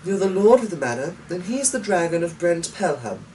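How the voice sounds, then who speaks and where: Storybook noblewoman, played by a man: young, insistent, pitched up, drawn-out emphasis, recorded off-mic. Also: very animated, darkish tone. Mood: urging, defiant. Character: spirited, insistent young noblewoman, daughter goading her father. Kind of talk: storybook dramatic reading. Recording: distant mic with faint noise.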